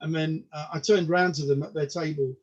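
A man in his sixties with a notably high voice, recorded in dull, lo-fi audio. He grew up in the UK with Polish as his first language. He chats casually and self-deprecatingly as a guest, often repeating words as he gets going. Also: steady tone, brisk, a little mellow. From United Kingdom. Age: mid-sixties. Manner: stammering repetitions, self-deprecating. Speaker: podcast guest, comedian. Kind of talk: casual interview chat.

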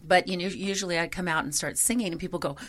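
Low gravelly woman, chatty. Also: fast, a bit bright. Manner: chatty, frequent fillers like "you know". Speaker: singer being interviewed. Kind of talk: conversational interview.

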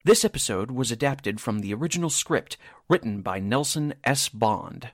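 Male announcer reading radio show credits, formal but lively pitch, dry close sound. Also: moderate pace, dry recording. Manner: formal, presentational.

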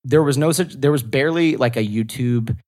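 A man's voice: mid-range voice, brisk, darkish tone. A man, a podcast guest and comedian, telling stories in a loose, rambling way full of "like". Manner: rambling, lots of "like" fillers. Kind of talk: casual storytelling interview.

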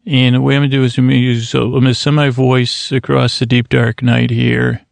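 A man hosting a bedtime podcast who rambles in a meandering, halting way, stretching out some words slowly to lull listeners to sleep. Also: medium pitch, flat delivery, vocal fry, no room sound. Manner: meandering, halting, drifting into slow drawn-out words. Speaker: podcast host.

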